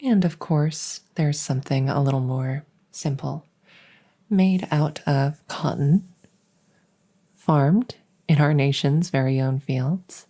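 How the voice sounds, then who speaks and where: A woman playing a devoted vampire maid in an ASMR roleplay, speaking softly and formally with soothing deference and gentle teasing. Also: sits low, exaggerated voice. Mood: attentive, deferential. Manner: soft, breathy, deferential, unhurried formal phrasing. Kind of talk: ASMR roleplay, intimate personal-attention audio.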